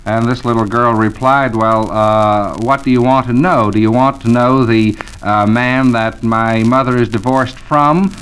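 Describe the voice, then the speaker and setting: Male moderator, medium pitch, courteous questioner, 1940s radio panel. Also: steady tone, a little slow, hardly any pauses, fairly bright tone, smooth, poor audio. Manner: polite, probing questioner.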